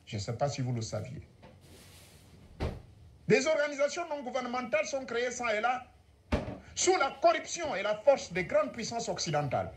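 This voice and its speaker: A man speaks in a high, swooping voice at a conference. His first language is Ngomienne, and his speech is oratorical and sweeping, with faint hiss in the background. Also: wildly swooping pitch, normal speed, pauses often.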